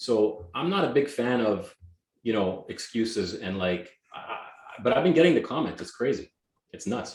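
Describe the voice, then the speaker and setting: A man's voice: medium pitch, very animated, brisk. A Canadian-born man whose first language was Greek, talking energetically and emphatically as a guest in a casual interview. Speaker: guest, real estate team leader. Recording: echoey room.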